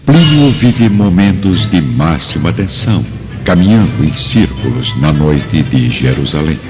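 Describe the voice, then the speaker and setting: Very deep Brazilian man narrating solemnly. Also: expressive, measured pace, big-room sound, dull audio, over loud music. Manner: solemn, dramatic storytelling. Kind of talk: scripted radio drama narration.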